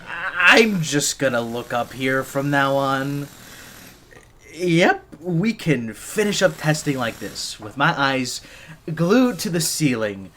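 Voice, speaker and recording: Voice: medium pitch, fairly bright tone, a little hiss or hum. A performer voicing a nervous, flustered young gadget engineer in an ASMR roleplay, stammering shyly and breaking off mid-phrase. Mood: flustered, embarrassed.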